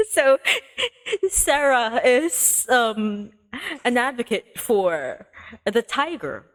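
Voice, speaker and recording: A woman livestreamer chatting playfully, with a giggly, gushing, expressive way of talking. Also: on the high side, drawn-out words, long pauses.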